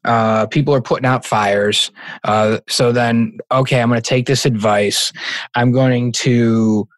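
Chill guy chatting about work, dry close mic, jokey quick asides. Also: medium pitch, steady tone, no room sound. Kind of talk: casual tech podcast chat.

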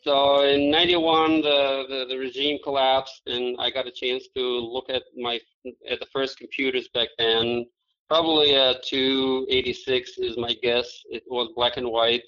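A man in his forties with an Albanian accent explains things in a measured, unhurried way, often pausing on "uh". His pitch stays level and flat. The audio is a little dull and lo-fi, like a remote call. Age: 40s. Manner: measured, explanatory, frequent "uh" fillers. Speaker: IT manager at a manufacturing firm. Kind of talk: interview answers.

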